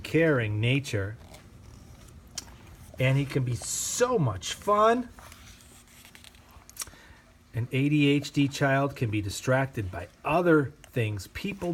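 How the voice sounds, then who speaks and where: A man reading a children's picture book aloud with gentle, expressive storytelling. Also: mid-range voice, slow pace, pauses often, slight background noise. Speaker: reader.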